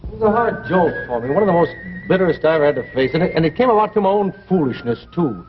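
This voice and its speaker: An actor playing a regretful middle-aged racetrack man, narrating his youth in a folksy, rambling, halting way in a 1950s television drama. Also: fairly high pitch, lively intonation, faint music behind, natural voice. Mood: rueful. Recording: dull old TV soundtrack, noisy.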